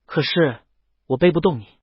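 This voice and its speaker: A man playing a shy, gentle young dragon in an audio story, speaking in a meek, hesitant way. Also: on the high side, moderate pace, slightly rough, no echo, muffled recording. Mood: bashful, hesitant. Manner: hesitant, meek, soft-spoken. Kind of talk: audio story character dialogue.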